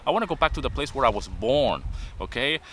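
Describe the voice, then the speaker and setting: Vlogger, 50s, Venezuelan accent, earnest motivational delivery, bright timbre. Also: male voice, mid-range voice, very animated, fast talker, slight background noise. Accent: Venezuelan Spanish. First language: Spanish. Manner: earnest, repeats points with "okay?". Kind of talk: motivational talk to camera.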